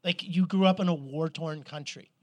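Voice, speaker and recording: Middle-aged guy on a sports podcast, a bit high-pitched, tells stories fast and swears. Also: no echo. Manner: animated storyteller, swears, restarts a lot. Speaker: podcast co-host. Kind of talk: casual sports chat.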